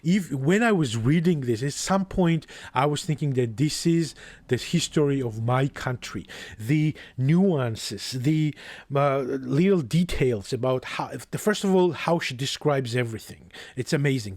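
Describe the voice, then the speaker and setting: A young man from Georgia, a native Georgian speaker, talks through a book review in a mid-range voice, pausing and restarting as he searches for words. The sound is close and dry, with no room around it. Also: mid-range voice, lively intonation, a little mellow, no echo. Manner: thoughtful, with frequent restarts and hesitations.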